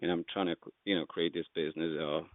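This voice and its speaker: A man's voice: deep voice, steady tone, quick pace, no room sound. A man in his late twenties, a wholesaler on a cold call, friendly and hesitant, with lots of fillers. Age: 20s. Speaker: real estate wholesaler cold calling. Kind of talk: casual phone conversation. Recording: tinny phone line.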